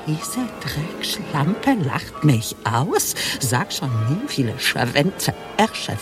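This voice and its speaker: An actress in a radio play playing a furious, foul-mouthed executive director, venomous and theatrical, full of contempt. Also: female voice, low-pitched, sing-song, music in the background, heavily acted. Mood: raging outburst. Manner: venomous, theatrical, swinging from slow sneering to outbursts.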